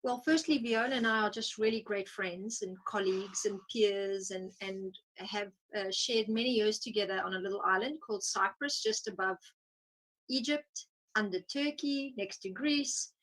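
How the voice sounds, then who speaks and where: A woman psychotherapist guest from South Africa, explaining her work in a thoughtful, measured way in an interview. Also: medium pitch, fairly bright tone, rough recording. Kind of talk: interview conversation.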